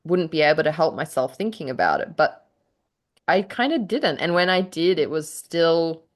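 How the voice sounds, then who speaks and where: A woman's voice: sits low, sing-song, normal speed. A woman co-hosting a casual podcast chat, often laughing as she talks. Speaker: podcast co-host and writer.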